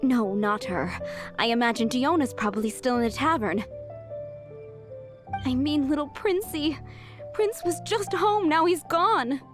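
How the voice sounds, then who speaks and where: A woman's voice: sits high, lively intonation, a little slow, long pauses. A woman playing a chatty, somewhat scatterbrained female tavern keeper looking for her lost cat. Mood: worried. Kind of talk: video game dialogue. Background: game music.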